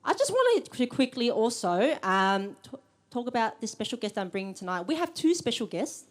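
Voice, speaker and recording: A woman's voice: a little high, sing-song, normal speed. A young woman hosting a live event, chatty and a bit nervous, with lots of ums. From Australia. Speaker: event host. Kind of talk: live event welcome speech. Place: event room with audience.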